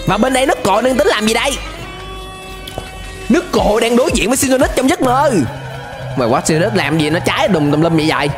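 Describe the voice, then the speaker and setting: Young man, southern Vietnamese accent, high-pitched, very lively intonation, jokey reaction host. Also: fairly quick, pauses often. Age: young adult. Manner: exclamatory, jokey, with English interjections. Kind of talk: playful reaction commentary. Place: small indoor streaming setup. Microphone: close desk microphone. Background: music.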